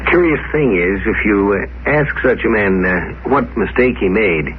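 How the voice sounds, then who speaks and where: A man hosting a radio programme with measured, musing, conversational commentary. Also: fairly low pitch, expressive, a little hiss or hum. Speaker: host narrator. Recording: tinny old radio recording, music behind.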